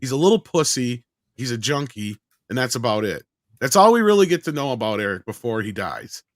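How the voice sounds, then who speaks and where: A man's voice: medium pitch, sing-song, brisk, dry recording, studio quality. A man talking casually on a film review panel, blunt and emphatic, swearing freely.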